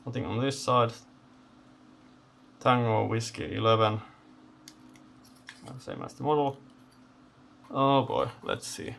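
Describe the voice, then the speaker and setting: Male teardown host, gravelly and lowish, off-the-cuff halting commentary, slight background noise. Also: steady tone, a bit bright. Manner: halting, thinking aloud in short phrases. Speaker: host of electronics teardown videos. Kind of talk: unscripted hands-on teardown commentary. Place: indoor electronics workbench.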